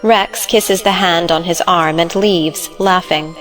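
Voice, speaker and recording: A woman's voice: fairly low pitch, steady tone, takes it slowly, a bit bright, faint music behind. A woman giving scripted narration, reading out the stage directions of a play.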